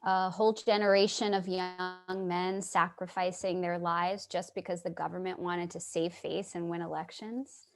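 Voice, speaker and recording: A woman's voice: lowish voice, level tone, moderate pace, hardly any pauses, faint music behind, natural voice. A woman playing an earnest, sympathetic, somewhat naive writer in a staged reading, sincere and a little hesitant. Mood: curious, earnest. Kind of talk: staged reading with first-person narration.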